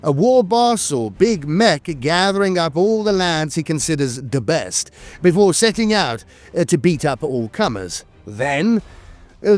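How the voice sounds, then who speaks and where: A man with a fairly high voice delivers scripted lore narration as a theatrical storyteller. His pitch moves in lively, animated swings, and he leans into emphatic words. The sound is close and dry. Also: dry recording.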